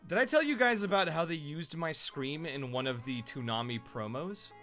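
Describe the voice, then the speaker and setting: A man who is a voice actor and streamer, chatting casually and loudly on his live stream with lots of fillers. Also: fairly high pitch, expressive, moderate pace, faint music behind. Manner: loud, chatty, rambling with fillers.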